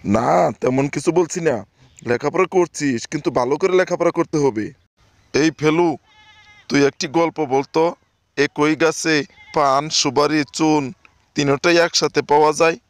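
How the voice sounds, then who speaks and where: A man's voice: a little high, unhurried, no room sound. A man voicing a scolding, easily exasperated schoolteacher in a comedy cartoon. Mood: lecturing.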